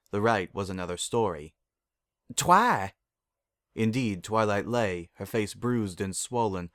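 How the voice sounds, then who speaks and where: Male narrator for dramatic fiction reading, fairly deep register, dry studio-like sound. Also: dry recording.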